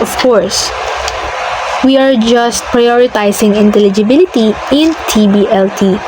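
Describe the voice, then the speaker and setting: A woman whose first language is Filipino gives a classroom slide presentation. She speaks slowly and carefully, often repeating and correcting herself. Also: on the high side. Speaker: student presenter. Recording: noisy with traffic behind.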